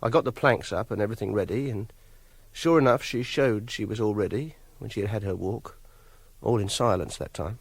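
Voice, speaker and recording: A man's voice: on the low side, a little fast, husky, no room sound. An actor playing a socially awkward, obsessive young Englishman in a radio drama, hesitant and defensive. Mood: confessional, self-justifying. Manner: awkward, defensive, fussily self-justifying. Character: socially awkward, obsessive young man holding a woman captive. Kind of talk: radio drama acting and first-person narration.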